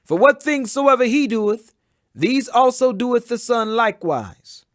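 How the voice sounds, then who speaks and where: Very high-pitched man reading scripture dramatically. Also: lively intonation, measured pace, fairly bright tone, dry recording, clean studio sound. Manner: solemn, emphatic, declamatory. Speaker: Bible reader.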